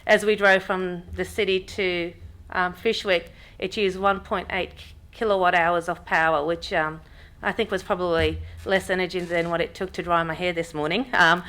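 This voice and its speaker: Woman, 30s, slightly low voice, unscripted conference talk with many ums. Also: moderate pace. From Pakistan. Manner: relaxed, frequent ums, restarts sentences. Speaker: workshop speaker. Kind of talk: business workshop talk. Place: conference room with audience.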